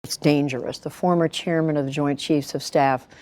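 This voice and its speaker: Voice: mid-range voice, fairly even intonation, moderate pace, darkish tone, dry recording. A news interviewer puts a question in a broadcast interview style.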